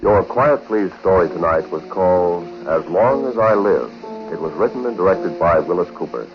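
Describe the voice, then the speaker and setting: A man's voice: low voice, lively intonation, normal speed. A man announcing a radio programme in a formal 1940s style. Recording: muffled old radio broadcast. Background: music.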